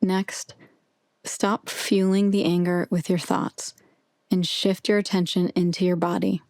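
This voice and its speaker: A woman narrating a guided meditation with soothing, measured, gentle instruction. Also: lowish voice, little pitch movement, dry recording, clean studio sound.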